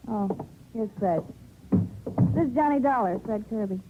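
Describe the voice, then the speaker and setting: A woman's voice: mid-range voice, expressive, moderate pace, music in the background, close to an everyday voice. An American actress playing a bored, sardonic, flirtatious small-town newspaper woman in a 1950s radio drama, cool and offhand. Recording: tinny, noisy old radio recording.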